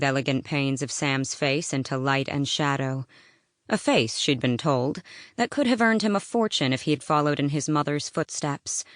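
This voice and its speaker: A woman's voice: low voice, no room sound, clean studio sound. A woman narrating an audiobook, reading scripted fiction in a dramatic storytelling style.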